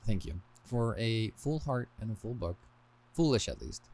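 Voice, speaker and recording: A man's voice: lowish voice, very animated, moderate pace, gravelly, a little mellow. A man hosting a podcast and reading aloud from a book he wrote, in a scripted style with wry, self-deprecating touches. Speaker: podcast host and author.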